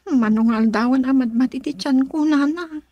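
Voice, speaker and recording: A woman playing a gentle young wife and mother in an Ilocano radio drama, with a Filipino Ilocano accent. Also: sits high, moderate pace, dry recording. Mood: gentle, uneasy.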